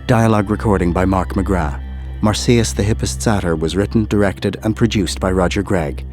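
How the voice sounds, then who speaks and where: A man's voice: sits low, moderate pace, a little mellow, faint music behind. A man reading a scripted credits announcement for a radio production.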